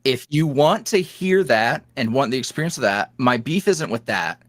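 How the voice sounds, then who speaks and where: A man's voice: medium pitch, expressive, normal speed, a bit bright, dry recording. A man speaking casually and candidly as an interview guest, tossing off self-deprecating asides. His voice moves up and down a lot, and the close microphone catches it dry and clean. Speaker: guest, ASMR roleplay creator.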